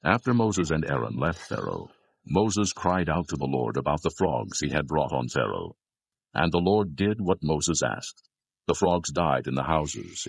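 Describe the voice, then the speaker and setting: A male narrator with an extremely deep, gravelly and husky voice reads scripture. His delivery is solemn and measured, a scripted storytelling style for audio drama. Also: no echo.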